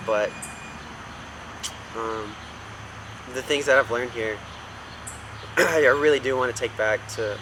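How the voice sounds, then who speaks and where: A man's voice: mid-range voice, slow, pauses often, bright, forceful tone, poor audio. A man from Pennsylvania, an internship graduate, speaking earnestly between coughs. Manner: earnest, coughs and clears throat. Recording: clear outdoor traffic noise.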